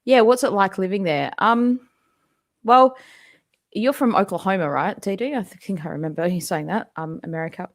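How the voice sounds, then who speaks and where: A woman's voice: medium pitch, normal speed. A woman from Australia with a Western Australian accent, a musician chatting casually with fans on a live stream from home at her computer microphone, rambling with lots of ums and frequent laughs. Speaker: musician hosting a live fan Q&A. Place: home, indoors. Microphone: desktop microphone at a computer.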